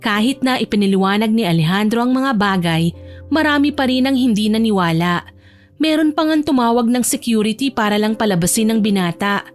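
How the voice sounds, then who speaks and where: A woman with a fairly high voice narrates a scripted romance story. Soft music runs behind her reading. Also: expressive, fairly quick.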